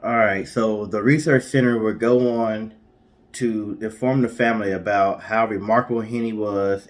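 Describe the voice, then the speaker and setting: Male co-host for storytime, lowish voice, informal narration from notes. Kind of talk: casual storytelling read from notes.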